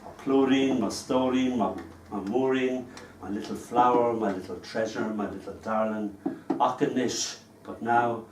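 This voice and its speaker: A man's voice: mid-range voice, steady tone, moderate pace, background noise. An Irish man giving a live poetry reading, telling wry, chatty stories between poems. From Ireland. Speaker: poet. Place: small live performance venue. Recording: echoey room picked up from a distance.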